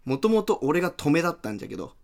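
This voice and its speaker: A man's voice: fairly high pitch, big pitch swings, rapid-fire, a little mellow. A man playing a brash, chatty, friendly young comedian with a Hiroshima-area dialect in an amateur radio drama. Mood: amused, excited. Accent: Hiroshima-area Japanese dialect.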